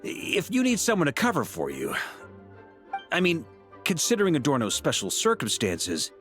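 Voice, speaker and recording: Wry, animated knight commander. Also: male voice, fairly high pitch, normal speed, soft background music. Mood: casual, reassuring. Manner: wry, casual authority. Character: wry, easygoing commanding male Grand Master of knights. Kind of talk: video game voice acting.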